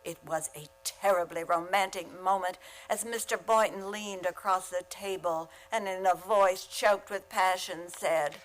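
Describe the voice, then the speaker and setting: An actress plays a witty woman schoolteacher from a radio comedy. Her voice sits at a middling pitch. She is dry and sardonic, a lovelorn type who turns every remark into a quip. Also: fairly even intonation, measured pace, few pauses, natural voice, rough recording. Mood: wry, mock-romantic. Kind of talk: comedic radio play acting with narration.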